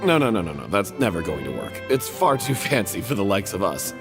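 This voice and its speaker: A flustered, humble troupe member. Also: male voice, deep voice, big pitch swings, fairly quick, over music. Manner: flustered, stammering protests. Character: nervous, humble man in a theater troupe. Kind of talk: video game voice acting.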